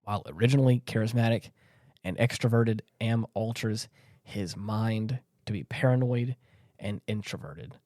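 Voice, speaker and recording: A man's voice: fairly low pitch, moderate pace, mellow, no echo, studio quality. A man hosting a podcast in casual discussion, speaking earnestly and emphatically and repeating himself to stress his points. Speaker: podcast host.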